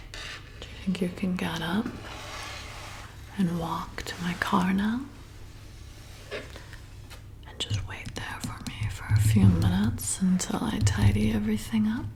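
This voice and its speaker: Woman playing a slow, whispering, hypnotic vampire seductress. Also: mid-range voice, steady tone. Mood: coaxing, praising. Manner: soothing, coaxing, slow and repetitive. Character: flirty, hypnotic, hungry female vampire bartender. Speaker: ASMR roleplay performer. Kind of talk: whispered ASMR roleplay.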